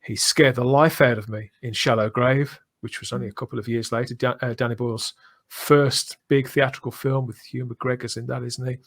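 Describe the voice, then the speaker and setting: A middle-aged man with a mid-pitched voice, talking as a podcast host. He is effusive and emphatic, wandering through his points in a casual fan-to-fan chat. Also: steady tone, normal speed. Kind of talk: casual enthusiastic fan discussion.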